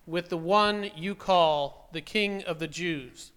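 A Roman governor with a high voice that carries as if addressing a crowd. He declaims insistently, commanding yet exasperated, in the manner of audio scripture drama. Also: takes it slowly, fairly bright tone, clean tone.

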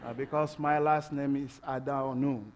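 A man from Togo with a French-English accent preaching a sermon in a church, halting with fillers, then building to passionate emphasis. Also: mid-range voice, level tone, darkish tone, a little hiss or hum. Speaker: pastor. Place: church sanctuary.

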